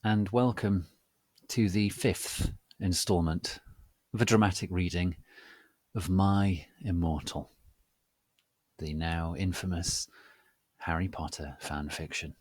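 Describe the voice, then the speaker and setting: A man hosting a dramatic reading, theatrical and mock-solemn, speaking deliberately with pauses. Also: low-pitched, fairly even intonation, darkish tone, no echo. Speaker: host and reader.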